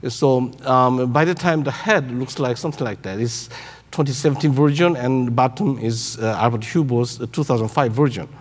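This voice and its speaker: Halting Korean-accented older man in a reverberant hall. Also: mid-range voice. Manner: halting, searching for words. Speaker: keynote speaker, robotics researcher. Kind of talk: conference presentation. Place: large conference hall on stage. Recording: echoey hall through PA.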